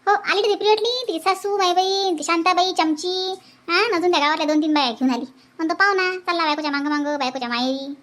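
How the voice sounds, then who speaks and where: A woman's voice: very high-pitched, fast talker, fairly bright tone. A woman voicing a jealous, boastful middle-aged village aunt in a comic cartoon, with a Varhadi Marathi accent and a nosy, scornful manner. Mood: scornful, spiteful. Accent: Varhadi Marathi from Vidarbha. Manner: nosy, scornful, muttering to herself.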